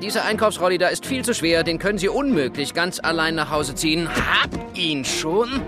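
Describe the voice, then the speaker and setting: An actor playing an overeager, pushy superhero in a children's radio play, showy and insistent. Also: male voice, a little high, animated, normal speed, natural voice. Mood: eager, insistent. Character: overeager, pushy male superhero keen to rescue. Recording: music behind.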